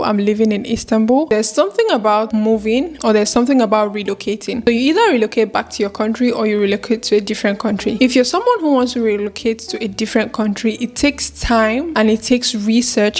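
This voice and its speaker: A woman's voice: a little high, darkish tone. A young woman from Nigeria talking through a casual question-and-answer vlog, chatty and upbeat, laughing often and bringing up faith. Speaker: vlogger. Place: home in Istanbul.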